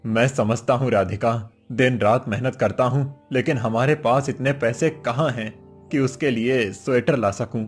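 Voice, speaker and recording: A man's voice: mid-range voice, measured pace, faint music behind, lightly acted. A man voicing a poor, desperate and devoted village cobbler, humble and earnest, in a cartoon story. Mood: weary, earnest.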